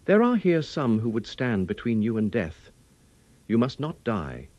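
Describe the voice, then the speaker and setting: A man's voice: medium pitch, sing-song, measured pace, a little mellow, highs cut off. A man playing a grave, protective, fatherly older doctor in an audiobook, pleading solemnly in archaic, formal phrases. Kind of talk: dramatic audiobook character reading.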